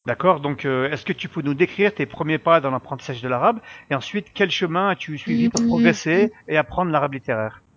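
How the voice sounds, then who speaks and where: A man hosting a podcast interview who asks his guest clear, orderly questions in a polite way. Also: a little high, expressive, brisk, few pauses, fairly bright tone, muffled recording. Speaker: podcast host.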